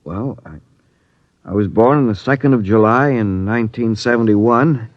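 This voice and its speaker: A man's voice: on the low side, normal speed, close to an everyday voice. An actor playing an ordinary, earnest young crewman who is plainspoken and defensive, in old-time radio drama style. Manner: plainspoken, questioning, animated. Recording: dull vintage radio recording.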